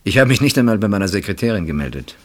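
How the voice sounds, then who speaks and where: An actor in a German radio play voicing a coolly ironic, probing husband with measured, drawn-out phrasing. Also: male voice, fairly low pitch, big pitch swings. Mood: controlled, measured.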